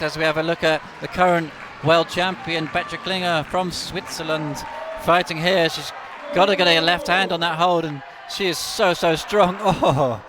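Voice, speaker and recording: A man co-commentating live on a climbing competition, enthusiastic and excitable, often repeating words as he reacts to the action. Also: on the high side. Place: big climbing competition hall with a large crowd. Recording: loud crowd and background noise in an arena.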